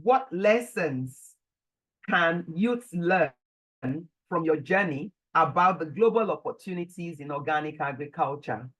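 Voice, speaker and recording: A woman moderating an online panel, speaking deliberately and repeating phrases as she goes. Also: deep voice, animated, a bit bright, low-quality recording. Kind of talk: hosting an online panel discussion.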